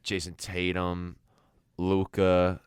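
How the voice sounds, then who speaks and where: A young man with a low, smooth voice co-hosts a sports talk show. He speaks in a casual, opinionated way, recorded close and dry. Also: completely flat delivery, takes it slowly, no room sound. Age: young adult. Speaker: sports radio co-host.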